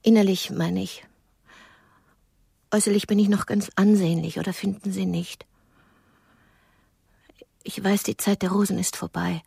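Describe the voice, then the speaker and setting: Lonely aging woman, nervous, theatrical, melodramatic, breathy whispered touches, medium pitch, radio drama. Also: steady tone, measured pace, pauses often, mellow. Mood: plaintive, confessional. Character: nervous, lonely aging woman rehearsing romantic lines for blind date.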